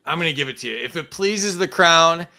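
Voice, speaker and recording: A man's voice: a little high, animated, fairly quick, bright, forceful tone. A man hosting a casual online game show, joking, laughing often and reading out chat comments.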